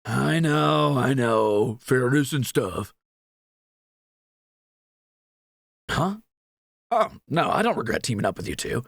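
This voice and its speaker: A man's voice: mid-range voice, sing-song, a little slow, gravelly, no room sound, studio quality, exaggerated voice. A man playing a greedy, cheeky young thief in a fantasy audio roleplay, teasing and flippant. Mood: casual teasing.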